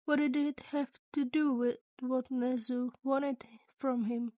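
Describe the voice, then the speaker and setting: A woman's voice: sits high, steady tone, slow, a little mellow, dry recording, studio quality. A woman reading scripted fan fiction aloud as the narrator of an audio story. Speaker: podfic narrator.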